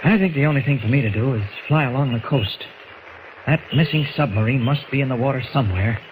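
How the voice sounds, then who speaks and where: A man's voice: medium pitch, animated, fast, phone-quality sound, natural voice. An actor playing a purposeful male newspaper reporter, confiding and authoritative, in 1940s radio acting. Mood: brisk, purposeful. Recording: noisy 1940s radio recording.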